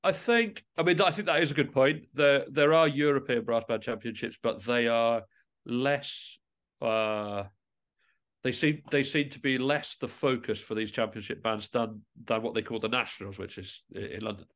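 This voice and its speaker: A man speaking as an interview guest, a musician reflecting tentatively and often pausing mid-thought to rephrase. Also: medium pitch, a bit bright.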